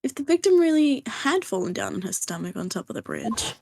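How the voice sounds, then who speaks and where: A woman's voice: fairly high pitch, wildly swooping pitch, brisk, gravelly, a little mellow, full character voice. A woman voicing a determined, assertive young female rookie defense lawyer in a fan dub of a courtroom game. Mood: confident. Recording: music behind the voice.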